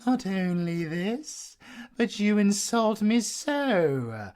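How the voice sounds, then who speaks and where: A flamboyant British werewolf villain in an audio drama. He drawls slowly and theatrically, with long pauses and big swings in pitch. He sounds smug, mocking and cruel. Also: sing-song, a little mellow, heavily acted. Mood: menacing, gloating. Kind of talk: audio roleplay acting.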